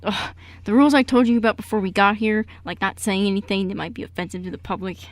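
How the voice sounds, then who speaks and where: A woman's voice: mid-range voice, fast, no echo, lightly acted. A woman playing a prim, protective and easily flustered older uncle in an amateur radio play, with a fussy, exasperated delivery.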